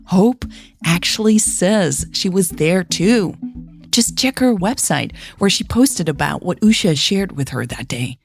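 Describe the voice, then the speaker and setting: A woman voicing an opinionated, insistent fan replying on an online forum, chatty and emphatic. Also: lowish voice.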